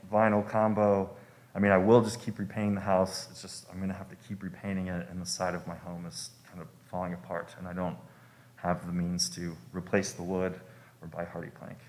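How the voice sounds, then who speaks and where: Deep-voiced young man, polite and hesitant. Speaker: homeowner applicant at a public hearing. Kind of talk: informal public testimony. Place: public meeting room.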